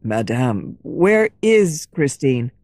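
A woman voicing an anxious, earnest young nobleman who keeps his voice low and restrained. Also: very animated, takes it slowly, a little mellow, no room sound, full character voice.

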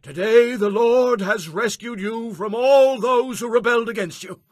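A man's voice: extremely high pitch, a little slow, a little mellow, no echo, studio quality, voice pushed up. A man voicing a respectful, formal male messenger declaiming victory news in a dramatized scripture audiobook. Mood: proud, formal.

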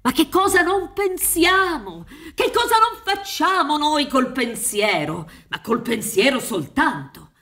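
A pompous female defence advocate in a radio play. The voice is fairly high and very animated, with rhetorical flourishes and theatrical pauses as it pleads. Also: exaggerated voice. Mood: emphatic, persuasive. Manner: theatrical, rhetorical, halting for emphasis. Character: pompous, wheedling defence lawyer pleading a client's innocence. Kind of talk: radio drama acting. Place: dark theatre stage, reading from script. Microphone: studio microphone with headphones.

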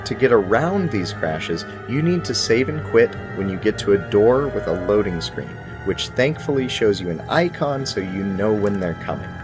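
A man in his thirties with a fairly low voice delivers a scripted game review. His phrasing is casual, wry and emphatic. Music plays clearly behind him.

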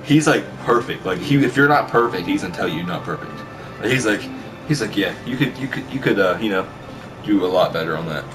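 Young male, lowish voice, brash casual storyteller, sweary, repetitive, distant mic, music behind. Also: lively intonation, fast. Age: teenager or young adult. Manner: brash, sweary, repeats himself. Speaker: vlog host telling school stories. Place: small bedroom, indoors. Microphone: camera mic at a distance.

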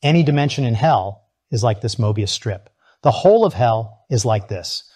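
A man's voice: medium pitch, expressive, moderate pace, mellow, breathy edge, no room sound. An actor playing a keen scientist in an audiobook, explaining things precisely to his superiors. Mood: absorbed, explaining. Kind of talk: audiobook character acting.

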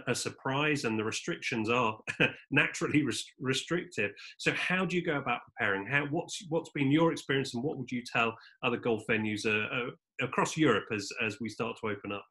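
A man in his fifties interviewing a guest on a video call from home, asking polite questions with a halting, searching phrasing. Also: mid-range voice, bright, forceful tone. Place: living room at home.

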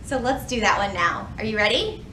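A woman's voice: medium pitch, brisk, a little hiss or hum, rough recording. A woman in her thirties leading a children's storytime, speaking expressively and straight to young listeners. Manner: expressive, animated, addressing children directly. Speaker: children's librarian hosting storytime. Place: indoors in a library.

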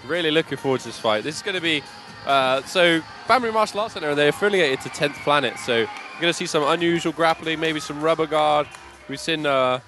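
A British man giving live MMA commentary, excitable, reacting in quick bursts of praise. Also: a little high, faint music behind. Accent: British English.

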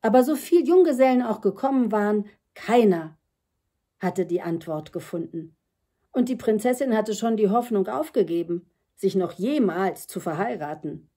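An older woman reads a children's fairy tale at home. Her voice is mellow and breathy, of middling pitch, and her delivery is expressive. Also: normal speed. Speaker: storyteller. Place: room with bookshelves at home.

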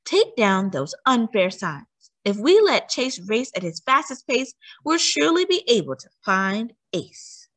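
A woman's voice: on the high side, sing-song, unhurried, fairly bright tone, pitched above the performer's own voice, exaggerated voice. A woman voicing a principled female race car in a children's storybook, earnest and insistent about fairness.

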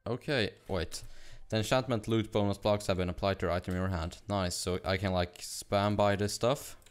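A young man from Sweden with a Swedish accent, chatting fast and rambling with laughs while narrating his gameplay. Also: lowish voice, steady tone, clean tone, soft background music. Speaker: gaming YouTuber. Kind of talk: casual gameplay commentary.

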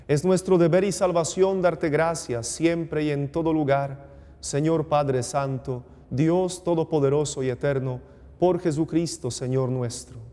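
A man in his forties, a priest speaking solemnly and with measured exhortation at Mass. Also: on the high side, mellow. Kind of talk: homily and liturgical prayer. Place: small indoor TV studio chapel. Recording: long reverberant hall echo.

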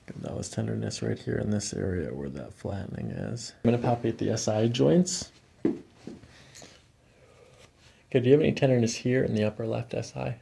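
Gravelly, mellow American male chiropractor. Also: lowish voice, normal speed, long pauses, a little hiss or hum. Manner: calm, explanatory, clipped check-in questions. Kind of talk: hands-on clinical exam narration, ASMR. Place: small carpeted clinic office, indoors.

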